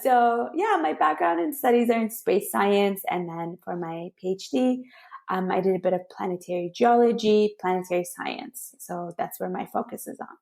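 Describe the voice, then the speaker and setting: Woman guest on a call, gravelly voice, chatty, jokey, laughs easily. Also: medium pitch, normal speed, darkish tone. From Iran. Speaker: planetary scientist guest. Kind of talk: casual interview answers. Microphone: remote call.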